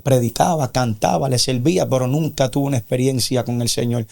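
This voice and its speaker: A man with a creaky, warm, dark-toned voice at a middling pitch tells his faith testimony as a guest. His delivery is earnest and somewhat preacherly. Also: a little fast. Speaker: interview guest. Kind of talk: personal religious testimony.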